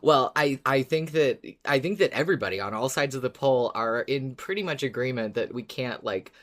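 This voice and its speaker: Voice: medium pitch, moderate pace. A podcast co-host in casual chat, playful and often restarting sentences.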